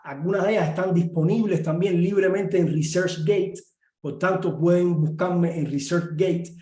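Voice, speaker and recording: A middle-aged professor from Cuba with a Cuban Spanish accent, speaking earnestly in an online talk with many pauses and filler sounds. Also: male voice, fairly high pitch, dark tone. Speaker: university professor and guest speaker. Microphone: video call. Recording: echoey room.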